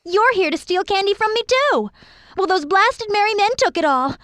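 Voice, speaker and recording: A woman's voice: very high voice, expressive, moderate pace, fairly bright tone, no room sound. A woman voicing a sassy, bossy, chatty fairy-tale girl in a cartoon video game. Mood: indignant.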